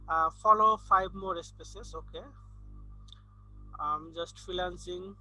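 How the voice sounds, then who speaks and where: Male tutorial presenter, Bangladeshi accent, Bangla native. Slow, halting delivery, piercingly bright voice. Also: high-pitched, soft background music, rough recording. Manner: halting, hesitant, piecemeal phrasing. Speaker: freelancer giving an online tutorial. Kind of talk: unscripted screen-recording tutorial.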